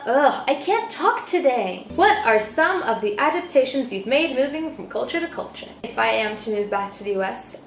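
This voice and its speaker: A young woman born in El Salvador and raised in Turkey, chatting casually to camera in a bubbly, talkative way, swinging between loud and soft. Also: medium pitch. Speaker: vlogger. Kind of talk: casual vlog chat to camera. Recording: echoey room with faint noise.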